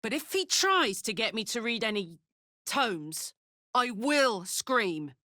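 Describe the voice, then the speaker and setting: A woman voicing a brash, warm-hearted tiefling warrior in a video game, with blunt, earthy soldierly talk. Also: fairly high pitch, very animated, slow, dry recording, clean studio sound, heavily acted. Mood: playful. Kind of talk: video game character voice acting.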